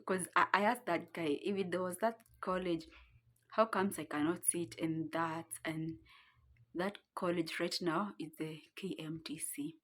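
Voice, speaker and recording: A woman's voice: on the low side, fairly even intonation, measured pace, a bit bright. A young woman with a Kenyan accent telling a casual vlog story from her home, rambling as she searches for words. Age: young adult. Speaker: vlogger. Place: her home, seated on the floor.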